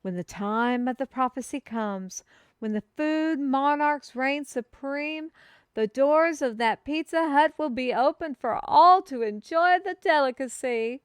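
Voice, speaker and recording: Theatrical, high-voiced young fantasy messenger woman, acted. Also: unhurried, no room sound, studio quality. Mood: earnest. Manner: theatrical, proclaiming, old-fashioned formal wording. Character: excitable, earnest female kingdom messenger bearing a food prophecy. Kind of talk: fantasy audio roleplay, speaking to one listener.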